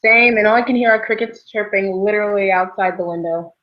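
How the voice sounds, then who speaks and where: A woman's voice: mid-range voice, fairly quick. A young woman co-hosting a casual, chatty discussion about books. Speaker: book discussion show co-host. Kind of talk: casual book chat. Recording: dull, lo-fi sound.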